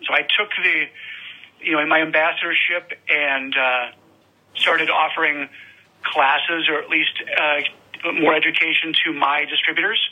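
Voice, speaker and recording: A man giving a halting self-introduction on an online audio chat, filling pauses with many uhs. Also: a little high, fairly even intonation, pushed and bright, poor audio. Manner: hesitant, many fillers. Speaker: wine ambassador, state sales manager.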